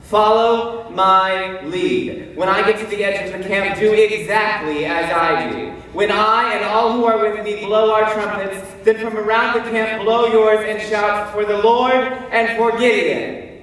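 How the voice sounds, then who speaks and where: A man playing Gideon, a fearful biblical warrior, in an emphatic, hesitant stage monologue. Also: high voice. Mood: rousing. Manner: theatrical, emphatic, with deliberate pauses and hesitant stammers. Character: Gideon, fearful, self-doubting biblical warrior telling his story. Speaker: stage actor. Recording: distant mic in echoey hall, faint noise.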